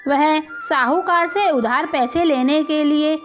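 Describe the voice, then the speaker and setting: A woman's voice: sits high, slow. A woman narrating a scripted moral story as its storyteller. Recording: muffled lo-fi audio with music.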